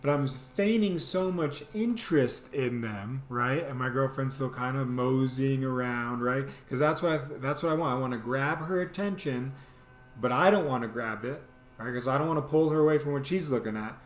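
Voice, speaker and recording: A man's voice: medium pitch, moderate pace. A man telling a casual, comedic personal story as a host, animatedly acting out bits as he goes. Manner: animated, acts out quoted lines and sound effects. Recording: echoey, roomy sound.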